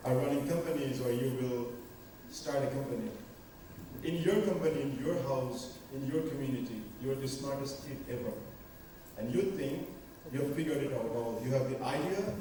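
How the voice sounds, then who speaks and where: A man's voice: medium pitch, mellow, a little hiss or hum. A male panelist earnestly telling his own story and advising a young audience member. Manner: earnest, storytelling, rhetorical questions. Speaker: panelist, entrepreneur. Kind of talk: giving advice to an audience. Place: large conference hall. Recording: echoey conference hall from far off.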